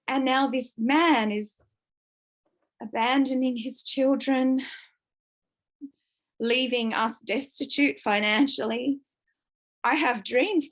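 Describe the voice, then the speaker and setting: Croaky woman in her fifties, venting. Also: a little high. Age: 50s. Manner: raw, distressed, swearing, venting. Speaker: book club member. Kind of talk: emotional personal sharing.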